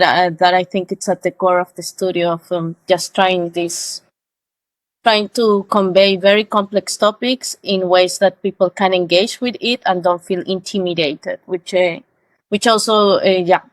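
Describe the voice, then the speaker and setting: A woman's voice: lowish voice, steady tone, normal speed, no room sound. A woman in her thirties from Peru with a Peruvian Spanish accent, speaking English carefully with hesitations as a guest in a podcast interview. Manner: careful non-native phrasing, hesitant. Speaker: guest, studio co-founder.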